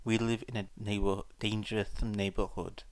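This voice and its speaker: A man's voice: lowish voice, little pitch movement, normal speed, clean tone, no room sound, lightly acted. Casting: male reader as a tall lizard-like teen for audiobook, polite and unexpressive, with a lisp. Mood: apologetic, reserved. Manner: formal, unexpressive. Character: polite, reserved tall reptilian teenage boy. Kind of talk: audiobook character voice.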